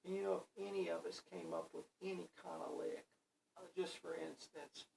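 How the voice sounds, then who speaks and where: Gravelly older man teaching guitar. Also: sits high, flat delivery, normal speed, a little mellow. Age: 60s. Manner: rambling, folksy, with many fillers. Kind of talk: casual spoken guitar lesson. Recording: voice a bit far from the mic, faint background noise.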